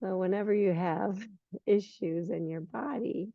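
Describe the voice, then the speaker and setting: A hoarse middle-aged woman guiding a yoga class in a relaxed, unhurried way, with some fillers along the way. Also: medium pitch, dry recording. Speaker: yoga teacher. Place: yoga studio. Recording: muffled lo-fi recording.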